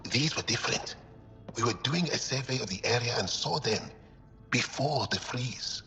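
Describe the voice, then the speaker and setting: A jumpy male alien researcher from a sci-fi game. His voice sits at a middle pitch, and he talks in a blunt, excitable, suspicious way. Faint music plays behind him. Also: normal speed. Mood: earnest. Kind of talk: cinematic video game acting.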